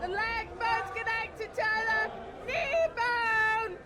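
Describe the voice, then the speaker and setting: A woman's voice: very high-pitched, slow pace, bright, forceful tone, soft background music, heavily acted. A woman voicing a childlike, needy girl in a theatrical monologue, with a sing-song delivery. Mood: eerie, playful. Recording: faint background noise, room ambience.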